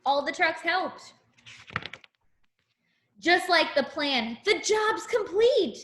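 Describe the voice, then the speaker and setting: A woman reading a picture book aloud to young children as a teacher, in an expressive, deliberate way with simple explanations. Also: extremely high pitch, slight background noise. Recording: echoey room.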